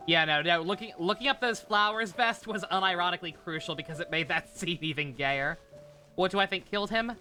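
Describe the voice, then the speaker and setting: Very bright-voiced man live-streaming a game. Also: high voice, fairly quick. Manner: rambling, joking. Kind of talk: casual live-stream commentary. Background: game music.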